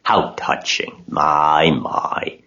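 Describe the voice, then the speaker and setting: A grumpy old rat from a storybook, put on by a man. His voice is low, and he complains with lazy sarcasm and mockery. The sound is dull and lo-fi. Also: expressive, a little slow, exaggerated voice. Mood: sarcastic self-pity. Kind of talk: storybook character voice.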